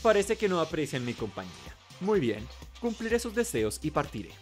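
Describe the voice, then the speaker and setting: A man's voice: on the high side, sing-song, normal speed, faint music behind. A man voicing an arrogant, contemptuous young man from a video game in a haughty, commanding way. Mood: cold, scornful.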